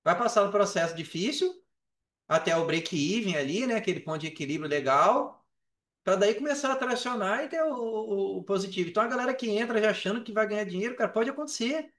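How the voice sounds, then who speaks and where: Brazilian man, high-pitched, animated, slangy, casual interview talk, echoey room. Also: fast talker. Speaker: podcast guest, affiliate marketer. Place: indoors on an online call. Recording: roomy echo on a call.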